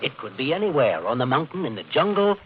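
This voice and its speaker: Man playing sly, wry beachcomber, very animated. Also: medium pitch, quick pace, natural voice. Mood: theatrical storytelling. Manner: theatrical, wry. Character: sly, gregarious beachcomber painter, flattering and mocking. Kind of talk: 1950s radio drama acting. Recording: noisy, lo-fi 1950s radio recording.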